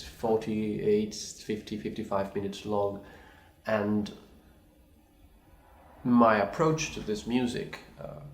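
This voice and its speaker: A man, a pianist speaking English as a second language, giving a thoughtful, hesitant reply full of restarts and apologies. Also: lowish voice. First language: not English. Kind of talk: recorded reply to a video challenge. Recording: echoey room.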